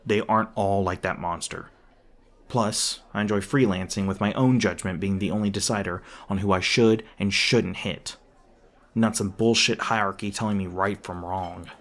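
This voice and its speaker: A man's voice: on the low side, fairly even intonation, moderate pace, a bit bright. A man playing an edgy, hot-tempered monster hunter in an audio roleplay, sweary, defensive and sardonic. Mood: defiant. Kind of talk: ASMR audio roleplay acting.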